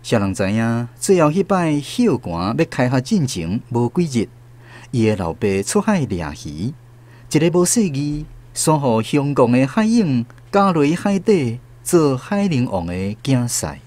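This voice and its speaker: A man's voice: mid-range voice, very animated, measured pace, a little mellow. A man with a Taiwanese accent narrating a scripted literary radio drama as a storyteller.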